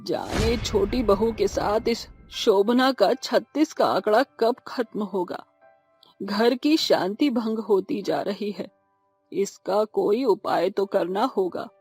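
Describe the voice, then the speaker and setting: A woman voicing a stern, weary older mother-in-law with a husky voice in a cartoon drama. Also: mid-range voice, takes it slowly, a little mellow, soft background music. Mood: weary, troubled. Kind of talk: Hindi cartoon drama acting.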